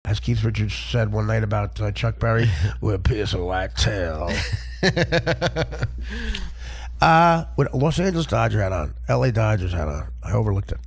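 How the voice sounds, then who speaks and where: Gravelly, animated male comedian. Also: fairly low pitch, normal speed. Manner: animated, crude, rambling, acts out bits. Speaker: stand-up comedian. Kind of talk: casual, profane comedy storytelling.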